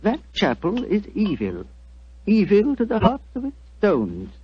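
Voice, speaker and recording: An actor playing a small, grandiose ghost hunter, florid and ominous, in a 1940s radio drama. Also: male voice, sits high, wildly swooping pitch, unhurried, breathy edge, heavily acted. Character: small, colourful ghost hunter, grandiose self-styled crusader. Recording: dull old radio recording.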